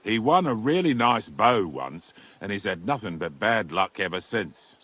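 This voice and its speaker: A penitent former outlaw in a medieval video game. His low, husky voice is gravelly, with expressive dips and rises. He sounds weary, grave and resigned. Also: normal speed, heavily acted. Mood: wry, resigned. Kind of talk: dramatic video game dialogue.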